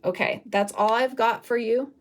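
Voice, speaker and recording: A woman reads tarot for her viewers in a loose, wandering way, often pausing to search for words. Her voice is raspy from a recent illness. She talks in a relaxed, casual manner. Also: fairly high pitch. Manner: rambling, loose and searching, many fillers. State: raspy from recovering from flu. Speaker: tarot reader. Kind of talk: casual pick-a-card tarot reading.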